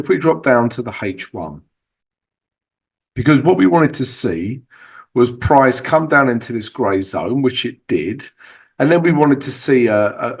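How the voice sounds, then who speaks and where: A man, husky as he recovers, casually walking viewers through trading charts with lots of fillers and asides to the viewers. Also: medium pitch. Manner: chatty, fillers, addresses viewers as guys. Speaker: forex trading channel host.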